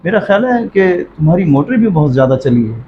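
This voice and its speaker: A man's voice: sits high, big pitch swings. A man playing a middle-aged elder brother and father in an amateur moral drama, stern and curt. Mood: stern, annoyed. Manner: curt, scolding. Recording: roomy echo, dull sound, faint noise.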